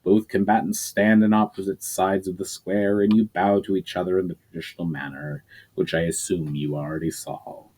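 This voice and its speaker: A man voicing a calm, wise nonhuman male mentor, in a measured, explanatory storybook reading voice. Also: low voice, slightly rough, pitched below the performer's own voice, low-quality recording. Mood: instructive.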